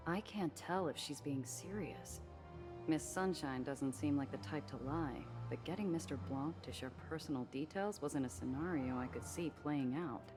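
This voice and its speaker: Actress doing a dry hardboiled smuggler woman for a noir game, low voice, world-weary, music playing behind. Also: normal speed, natural voice. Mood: wry, probing. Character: wry, guarded streetwise woman smuggler, hardboiled narrator. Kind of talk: noir first-person narration and game dialogue.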